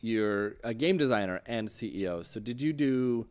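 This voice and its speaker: A man's voice: medium pitch, lively intonation, normal speed, darkish tone, smooth. A man hosting a casual interview, chatting in an informal way. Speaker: show host interviewing a game designer.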